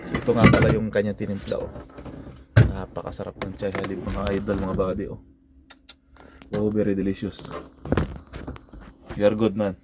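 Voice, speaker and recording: A Filipino man who is a truck driver and vlogger, chatting in a friendly, excitable way and switching between Tagalog and English. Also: fairly low pitch, normal speed, long pauses, noisy background. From Philippines. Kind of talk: casual vlog chat. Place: truck parking lot outdoors.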